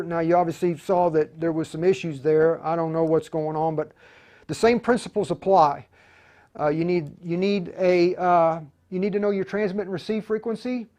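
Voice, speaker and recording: A man's voice: high-pitched, darkish tone. A man hosting a casual hands-on livestream tutorial, explaining in an informal, stop-and-start way as he thinks aloud. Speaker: livestream tutorial host, amateur radio operator.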